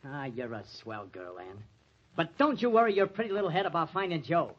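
A man's voice: sits high, fast, husky. A man playing a kindly, worried older man, folksy and hesitant, in an old-time radio drama. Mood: reassuring. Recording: muffled old radio recording.

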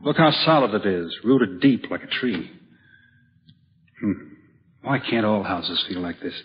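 A man's voice: lowish voice, lively intonation, unhurried, a little hiss or hum. Earnest dreamy man, dull radio recording. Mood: dreamy, eager. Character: restless dreamy husband-type man, a would-be writer. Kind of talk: old-time radio drama acting and narration.

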